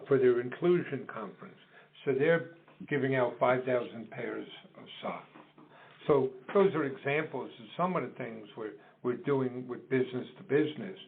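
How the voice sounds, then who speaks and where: Thin, phone-like audio carries a middle-aged or older man. He explains things as an interviewed business owner, in measured, deliberate phrases at an ordinary male pitch. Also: creaky. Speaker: business co-founder being interviewed. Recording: dull phone-like call audio.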